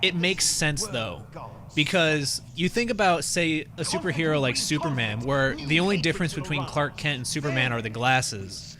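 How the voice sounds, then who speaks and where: A man's voice: on the high side, moderate pace, crowd noise. A man casually chatting about video games with co-hosts while they watch gameplay, rambling with frequent ums. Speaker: game magazine staff host. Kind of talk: casual chat over gameplay.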